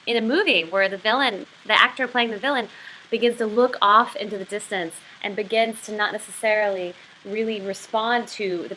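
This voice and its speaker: A woman's voice: mid-range voice, bright, forceful tone, a little hiss or hum, poor audio. A woman giving an explanatory lesson as a writing instructor, talking through a literary passage.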